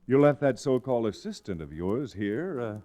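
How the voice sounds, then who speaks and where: An actor playing a wry, confident young lawyer in an old-time radio Western, brisk and emphatic. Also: male voice, mid-range voice, big pitch swings, dark tone, natural voice. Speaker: lead actor and first-person narrator.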